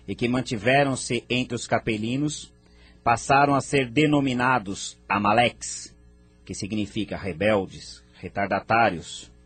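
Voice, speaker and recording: A man's voice: mid-range voice, husky, lightly acted. A man playing a wise, courteous spirit mentor in an audio drama, explaining things formally and didactically.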